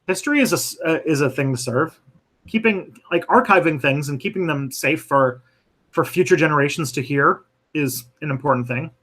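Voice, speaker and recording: A man's voice: on the high side, moderate pace, a bit bright, slightly rough. A man hosting a live stream and answering viewer questions in a thoughtful, hesitant way, with many fillers and restarts. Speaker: history channel host answering viewer questions.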